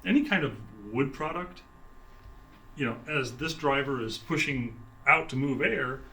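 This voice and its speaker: A man giving an informal product explanation in an interview as a sales director, speaking haltingly with restarted phrases. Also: mid-range voice, sharp tone, slight background noise. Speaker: sales director for a loudspeaker maker. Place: exhibit room at an audio trade show.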